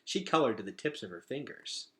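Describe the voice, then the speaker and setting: Male storybook reader, teacher type, lively pitch swings, bright punchy delivery, expressive emphasis. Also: fairly quick. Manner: animated, dramatic emphasis. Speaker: teacher reading a children's novel aloud. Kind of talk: expressive storybook read-aloud.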